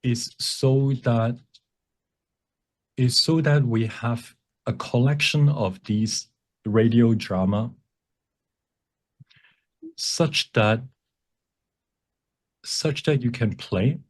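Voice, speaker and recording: A man giving an informal workshop presentation with a demonstration, explaining in a halting way and often correcting himself. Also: medium pitch. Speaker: workshop presenter and font developer.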